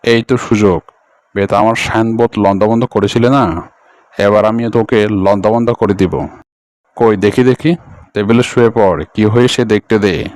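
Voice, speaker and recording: A man voicing a bossy, bullying tiger who plays doctor in a children's cartoon, gruff and commanding. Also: on the low side, steady tone, normal speed, a little mellow, no echo, natural voice. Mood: gloating, menacing. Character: bossy, bullying male tiger playing quack jungle doctor. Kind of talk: animated children's cartoon voice acting.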